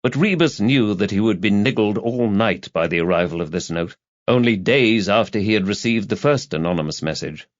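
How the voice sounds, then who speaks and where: A man's voice: on the low side, expressive, moderate pace, no echo, dull audio. A man reading a detective thriller as an audiobook narrator, in scripted, dramatised narration. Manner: dramatised reading with character voices.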